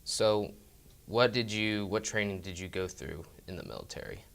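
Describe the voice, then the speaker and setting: A teenage boy with a low-ish, smooth, clear voice asks his grandfather friendly questions. His questions sound prepared in advance. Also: animated, normal speed, a bit bright. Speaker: student interviewer. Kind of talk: student interview.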